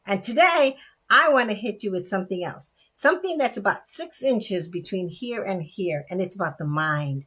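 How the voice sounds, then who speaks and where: A woman's voice: mid-range voice, fairly bright tone. A woman hosting a talk show, chatty and encouraging, speaking straight to her audience. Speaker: podcast host. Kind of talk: casual chat show hosting.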